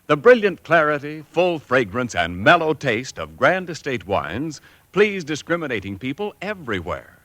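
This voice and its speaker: A man's voice: a little high, sing-song, moderate pace, a bit bright, no echo. A man announcing a 1940s radio commercial in a polished, persuasive way.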